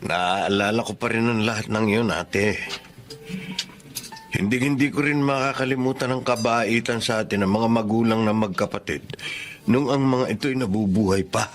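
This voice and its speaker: A man's voice: lowish voice, normal speed, soft background music, natural voice. Man acting an earnest, protective uncle. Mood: grateful, earnest. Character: loyal, grateful younger brother and protective uncle. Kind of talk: Filipino melodrama audio acting.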